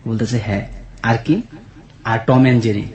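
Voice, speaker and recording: A man's voice: medium pitch, normal speed, a little mellow. A young man with a colloquial Bangladeshi accent telling a personal story conversationally, retelling dialogue turn by turn. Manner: retells dialogue in quoted turns. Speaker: guest telling his life story. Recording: echoey, reverberant voice.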